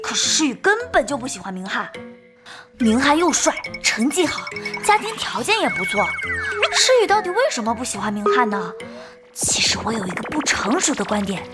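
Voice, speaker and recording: A woman voicing a chatty, cheeky teenage schoolgirl with a gossipy, girlish manner in a Chinese animated drama. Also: fairly high pitch, a little fast, music in the background. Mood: gossipy, confiding.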